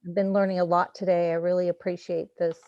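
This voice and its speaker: A woman's voice: fairly low pitch, little pitch movement, fast, dark tone, no room sound. A recent counseling graduate, a woman, introducing herself with slight hesitation. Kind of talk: self-introduction. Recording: dry, dull audio.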